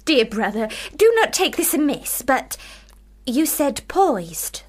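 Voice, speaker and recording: A woman's voice: high-pitched, big pitch swings, slow, exaggerated voice. A woman in a radio play playing a precocious, earnest young girl with a prim, formal, childlike way of talking. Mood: wheedling. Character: young Charlotte Bronte, precocious earnest girl, older sister. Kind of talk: radio drama acting.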